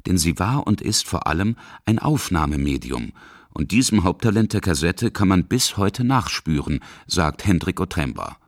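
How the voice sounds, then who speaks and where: A man reads scripted radio feature narration in a very low voice. He speaks as the reporter guiding listeners through a documentary. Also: very animated, normal speed, no echo, studio quality.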